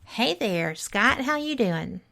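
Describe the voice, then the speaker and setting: Woman, Southern accent, middle-aged, radio host, gravelly, chatty. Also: on the high side, animated, slow pace, sharp tone. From Alabama. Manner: chatty, enthusiastic, laughs easily. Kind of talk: casual radio talk show.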